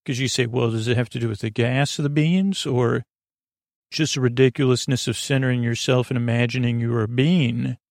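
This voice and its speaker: A man hosting a bedtime podcast in a meandering, halting monologue, trailing off and restarting his thoughts, with a gravelly, creaky voice. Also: medium pitch, steady tone, no room sound, clean studio sound. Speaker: podcast host. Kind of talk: rambling bedtime monologue to help listeners sleep.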